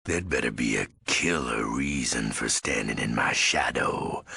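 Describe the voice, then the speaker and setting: An actor playing a menacing, crazed undead ghoul gang boss in a video game, very raspy and gravelly, talking in clipped street slang. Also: male voice, a bass voice, bright, forceful tone, heavily acted. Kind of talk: video game character acting.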